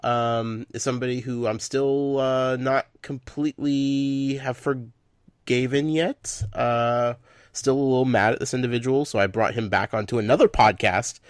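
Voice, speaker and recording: A man's voice: mid-range voice, dry recording, studio quality. A man hosting a casual podcast chat, stuffed up from a head cold, rambling with lots of fillers. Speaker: podcast host.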